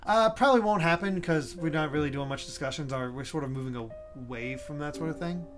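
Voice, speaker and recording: A man's voice: fairly high pitch, brisk, soft background music. A man co-hosting a game stream, reading the story aloud as narrator with casual asides. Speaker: guest co-host of a game stream. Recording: clear background noise.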